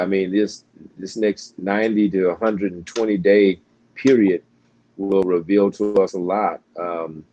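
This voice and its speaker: An American man, a pastor, talking in a relaxed interview with frequent fillers and restarts. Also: sits low, a little hiss or hum, low-quality recording. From United States. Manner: halting, many restarts and fillers. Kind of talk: casual interview conversation.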